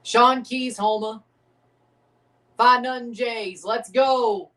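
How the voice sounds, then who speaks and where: A man's voice: extremely high pitch, slow, far from the mic. Rambling streamer man, a bit off-mic. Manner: rambling, excitable, repeats himself. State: voice getting scratchy from overuse. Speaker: streamer hosting a match watchalong. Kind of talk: casual livestream chat.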